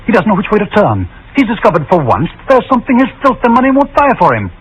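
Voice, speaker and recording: Defiant young husband, sardonic, theatrical, widely swinging intonation, 1940s radio drama, noisy old recording. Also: male voice, high voice, huge pitch swings, fast talker, dull audio. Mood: bitter, angry.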